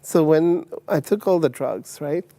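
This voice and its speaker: A man in his sixties from Uganda and later England, telling his personal story as an interview guest in a halting way, often repeating words. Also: fairly high pitch, creaky, warm tone. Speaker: testimony guest.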